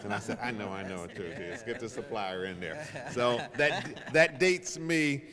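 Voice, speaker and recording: Low-voiced man moderating in a hall. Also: expressive, measured pace, fairly bright tone. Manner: conversational, joking, self-deprecating. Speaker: panel moderator. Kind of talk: informal spoken introduction to an audience. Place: large reverberant event room.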